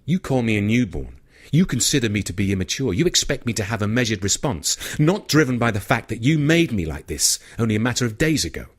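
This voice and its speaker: A man's voice: fairly low pitch, sing-song, quick pace, slightly rough. A man voicing a young, newly made vampire, earnest, questioning and unsure, in an audiobook story. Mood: indignant.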